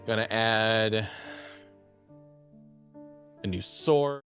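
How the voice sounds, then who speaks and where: A young man streaming live, chatting casually; he is excitable, laughs often and is losing his voice. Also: lowish voice, drawn-out words, faint music behind. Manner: excitable, laughs often, repeats words in bursts.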